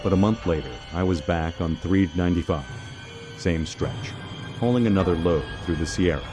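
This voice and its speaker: Male horror narrator, low-pitched, measured and dramatic first-person storytelling over background music.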